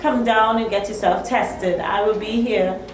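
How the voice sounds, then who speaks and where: A woman's voice: medium pitch, fairly even intonation, a little slow, echoey room, a little hiss or hum, low-quality recording. A woman co-hosting a talk show, asking a long, thoughtful question.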